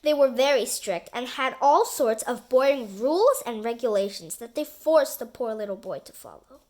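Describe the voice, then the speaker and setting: A woman reading a children's book aloud as expressive narration, with deliberate dramatic emphasis. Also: on the high side. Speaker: storybook reader.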